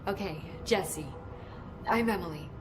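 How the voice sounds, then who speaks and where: A woman's voice: on the low side, a little slow, music in the background, natural voice. An actress voicing a nervous young woman researcher who rambles and apologizes for talking too much. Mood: flustered.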